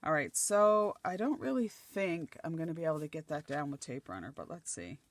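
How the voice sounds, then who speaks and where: A middle-aged woman from Nova Scotia, chatting casually as she works on a craft project, her voice slightly croaky and dry. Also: deep voice, animated, fairly quick, a little hiss or hum. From Nova Scotia, Canada. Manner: chatty, thinking aloud while gluing collage pieces. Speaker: craft channel host.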